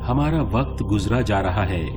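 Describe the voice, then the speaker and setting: An actor playing a showy quiz show host in a radio play, teasing and polite, announcing theatrically. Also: male voice, fairly low pitch, animated, measured pace. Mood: upbeat. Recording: music under the voice. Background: music.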